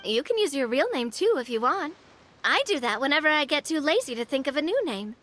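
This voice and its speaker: A woman's voice: high-pitched, very animated, brisk, fairly bright tone, a little hiss or hum. A woman playing a confident, playful young lady with a breezy, persuasive manner in an anime game. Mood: friendly.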